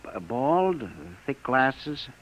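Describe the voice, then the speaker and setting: An actor playing an earnest, easily flustered bureau clerk in a radio drama, with hesitant, muttering speech. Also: male voice, medium pitch, very animated, slow. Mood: earnest, nervous. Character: fussy, earnest, excitable male missing persons bureau clerk. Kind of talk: old-time radio drama acting. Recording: muffled, lightly noisy old radio recording.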